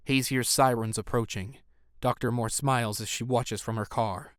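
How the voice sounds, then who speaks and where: A man's voice: medium pitch, fairly even intonation, moderate pace, clean tone, no echo, clean studio sound. A man reading scripted narration as the narrator of an audio drama.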